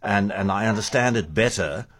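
A male interviewer asking long, halting questions and rephrasing them as he goes. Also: lowish voice, fairly bright tone.

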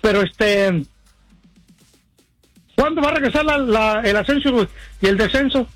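A man's voice: sits high, unhurried, fairly bright tone, dry recording, soft background music. A man from Mexico with a Mexican accent, calling a radio show and chatting casually, often stumbling and repeating words. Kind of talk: casual chat.

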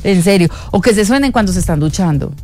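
A woman co-hosting a chat show, animated and talking straight to viewers. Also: mid-range voice, fast talker, faint music behind. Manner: chatty, animated, addresses viewers directly. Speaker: co-host.

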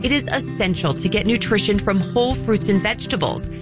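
A woman delivers scripted advertising narration as a radio announcer. Music plays clearly behind her voice throughout. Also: mid-range voice, expressive, a little fast.

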